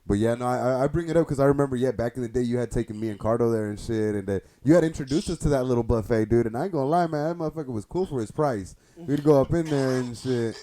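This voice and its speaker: A man's voice: mid-range voice, quick pace, hardly any pauses, dark tone. A young man, co-hosting a podcast in casual chat, slangy and hesitant with many restarts. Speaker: podcast co-host.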